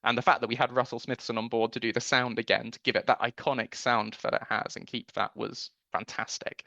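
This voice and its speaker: A man's voice: medium pitch, flat delivery, brisk, vocal fry, pushed and bright, no room sound, dull audio. A man giving a conversational radio interview as a guest producer, speaking in an earnest, enthusiastic way.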